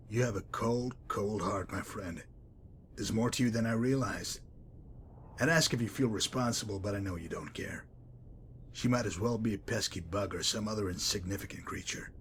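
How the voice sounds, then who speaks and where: A man playing a cold, cynical former pit fighter and gang enforcer in a video game, speaking in a calm, wry, articulate and menacing way. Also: fairly low pitch, slight background noise, close to an everyday voice. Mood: coolly impressed. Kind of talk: video game character dialogue.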